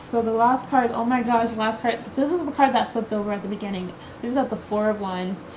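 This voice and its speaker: A woman's voice: fairly high pitch, steady tone, brisk, a little mellow, slight background noise, low-quality recording. A woman giving a casual tarot card reading to her viewers. She talks in a reassuring, chatty way, full of fillers and repeated "okay?" checks. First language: English.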